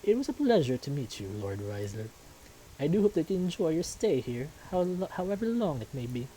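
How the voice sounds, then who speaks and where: A man voicing a kindly, refined king in a visual novel, speaking in a gracious, formal, measured way. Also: fairly high pitch, big pitch swings, warm tone, slight background noise, pitched above the performer's own voice, low-quality recording. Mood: gracious, pleased. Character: kindly, refined wise king of a fantasy realm.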